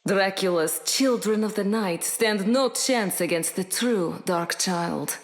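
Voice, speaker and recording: An actress voicing a self-assured young demon sorceress in a video game, measured and haughty. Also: female voice, medium pitch, no echo. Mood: cool, proud.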